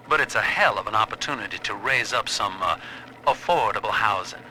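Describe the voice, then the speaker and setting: Shrewd developer for game audio diary; forceful, low-leaning voice, faint noise. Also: male voice. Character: shrewd, folksy, disdainful old-time property developer. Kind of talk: period game audio-log monologue. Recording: faintly noisy, radio-like audio log.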